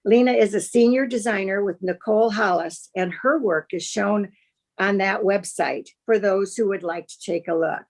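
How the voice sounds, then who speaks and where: An older woman opens a panel with measured, gracious formality. She speaks in a middle-pitched voice, and it comes through a video call microphone. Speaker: panel moderator.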